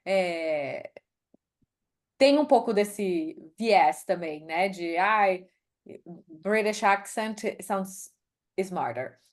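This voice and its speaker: A woman's voice: mid-range voice, expressive, very slow, pauses often. Brazilian woman, candid interview guest. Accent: Brazilian Portuguese. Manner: candid, reflective, mixes in English phrases. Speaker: guest, HR executive. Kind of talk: podcast interview.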